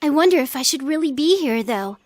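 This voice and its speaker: A woman's voice: high voice, lively intonation, brisk, a little mellow, slight background noise. A woman voicing an earnest, considerate and reserved teenage girl in an anime video game, polite and thoughtful. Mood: shy, hesitant.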